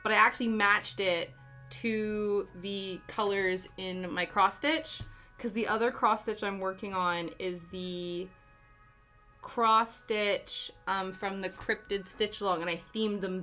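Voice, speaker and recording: A woman's voice: mid-range voice, steady tone, slow, bright, forceful tone. Chatty young Canadian woman streamer. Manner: chatty, reading and answering chat, giggly. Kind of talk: casual chat. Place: home, living in the UK. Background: faint background music.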